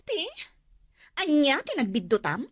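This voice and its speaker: A woman's voice: sits high, big pitch swings, measured pace, dry recording, clean studio sound. A woman playing a discontented, defiant wife in an Ilocano radio drama, arguing dramatically. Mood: angry, defiant. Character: discontented, sharp-tongued young wife who craves comfort.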